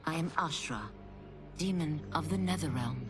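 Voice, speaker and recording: A woman's voice: deep voice, normal speed, music in the background, natural voice. An actress voicing a proud demon woman seeking redemption in a video game, formal and intense. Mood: solemn, composed. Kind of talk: video game cutscene acting. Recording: game soundtrack behind.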